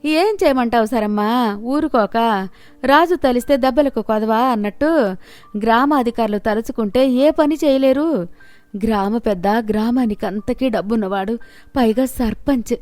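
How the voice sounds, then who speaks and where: A woman's voice: a little high, a little mellow, no room sound, lightly acted. A woman voicing a resigned, gossipy village neighbour in a storybook tale, homely and emphatic.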